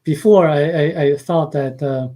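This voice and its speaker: Brief: Chinese-accented man, radio guest, dark mellow tone, slightly high, halting with fillers. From China. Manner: hesitant, many uh fillers, soft-spoken. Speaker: guest author and translator. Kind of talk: radio interview.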